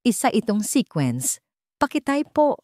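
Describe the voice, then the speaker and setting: An anxious young woman of an audio drama, acted out by a female storyteller. She is urgent and determined, with a hushed edge, and her pitch leaps around in a dry, close sound. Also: very animated, measured pace, dry recording. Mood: urgent, excited. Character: anxious, determined young woman, a general's daughter, piecing clues together.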